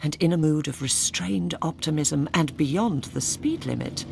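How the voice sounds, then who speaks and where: An Englishwoman narrating a radio drama in a dry, measured, literary storytelling manner. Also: sits low, gravelly, noisy background, exaggerated voice.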